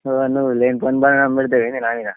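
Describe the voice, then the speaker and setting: A man's voice: medium pitch, little pitch movement, rapid-fire, clear, resonant tone, no echo. A man with a Telangana Telugu accent chats casually on the phone, blunt, wary and full of questions. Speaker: friend receiving a prank phone call. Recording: tinny, dry, band-limited phone line.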